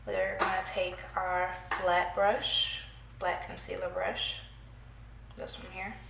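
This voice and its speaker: A young woman chats through a makeup tutorial in a small room at home, her voice stuffy from a cold. She keeps a playful, chatty tone with her viewers, and her voice has a gravelly fry. The room sounds echoey, with a faint hiss underneath. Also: lowish voice, level tone, slow pace, fairly bright tone. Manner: chatty, playful, talks to viewers while working. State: congested from a cold. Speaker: beauty vlogger. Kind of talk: casual makeup tutorial chat.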